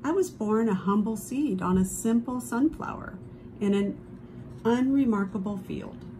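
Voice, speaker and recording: A woman reading a children's picture book aloud expressively, with dramatic drawn-out emphasis on key phrases. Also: fairly high pitch, mellow, a little hiss or hum, rough recording. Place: indoors at a table, book held before camera.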